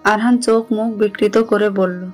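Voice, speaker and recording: A woman with a Bangladeshi accent narrates a romantic story in a level, even tone. Her pitch sits in the middle and moves little. Soft music plays behind her voice. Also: measured pace.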